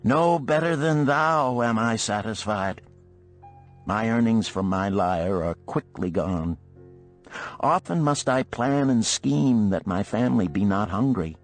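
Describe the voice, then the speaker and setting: A man's voice: lowish voice, lively intonation, takes it slowly, faint music behind, voice pushed up. A man voicing a wistful, poor musician of ancient Babylon in a storybook, speaking lyrically in archaic phrases.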